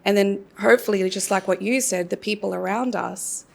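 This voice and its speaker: Gravelly-voiced woman. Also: medium pitch, steady tone, a little fast. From Australia. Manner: thoughtful, reflective. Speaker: workshop participant. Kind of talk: sharing an answer in a group Q&A.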